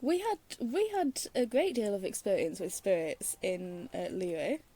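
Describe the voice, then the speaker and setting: A woman's voice: medium pitch, lively intonation, a little slow, dark tone, slight background noise. A woman giving casual, wry commentary as she plays through a video game, with muttered asides. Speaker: game streamer. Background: faint game music.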